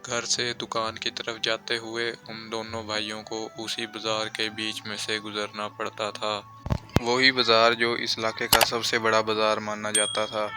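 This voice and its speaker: A man's voice: fairly low pitch, completely flat delivery, a little slow. A man reading scripted storybook narration for a cartoon, in a repetitive, formulaic storytelling manner over music.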